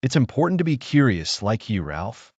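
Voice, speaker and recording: Man as a storybook woodpecker, cheerful, kindly, lively intonation, slightly muffled recording. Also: mid-range voice, moderate pace, no room sound. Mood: enthusiastic. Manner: enthusiastic, encouraging.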